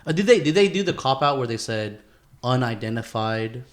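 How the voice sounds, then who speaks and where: A man's voice: medium pitch, lively intonation, a little fast. Slangy man, casual podcast banter. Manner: slangy, profane, informal. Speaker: podcast co-host.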